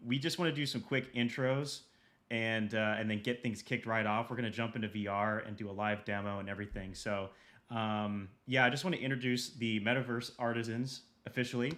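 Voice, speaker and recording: Relaxed male livestream host. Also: fairly low pitch. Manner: relaxed, filler-heavy. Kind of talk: casual livestream hosting. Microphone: video call.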